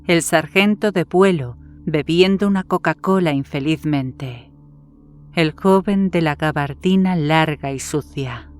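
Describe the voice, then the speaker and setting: A woman's voice: low-pitched, measured pace. A woman reading a horror story as a scripted audiobook narration. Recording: background music under the voice. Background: music.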